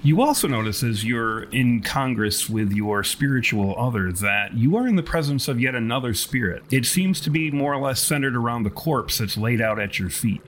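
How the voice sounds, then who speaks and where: A man narrating as a tabletop game master, with erudite, wordy and deliberate phrasing. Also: medium pitch, expressive, a bit bright, a little hiss or hum.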